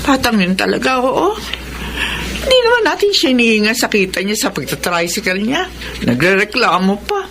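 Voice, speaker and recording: A poor Filipino mother in a radio drama, worried and quick to scold. Her voice sits fairly high and is full of fretful feeling. A faint noise runs behind her. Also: very animated, a bit bright. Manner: fretful, emotive. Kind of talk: Tagalog radio drama acting.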